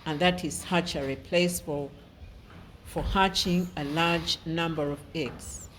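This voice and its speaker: Slow, patient woman teacher. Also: sits low, steady tone, slight background noise. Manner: patient, repeats words and spells them out. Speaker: primary school teacher. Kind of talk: slow children's lesson.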